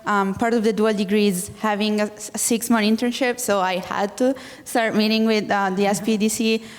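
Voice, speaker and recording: Halting young woman from Italy. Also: mid-range voice, flat delivery. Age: 20s. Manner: halting, searching for words. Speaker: student panelist. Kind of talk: panel discussion. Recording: long hall reverb.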